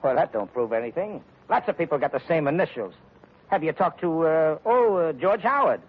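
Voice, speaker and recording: An actor playing a menacing, mocking American gangster in a 1940s radio crime drama. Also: male voice, fairly high pitch, expressive, moderate pace, husky. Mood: gruff, suspicious. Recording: dull, noisy vintage radio recording.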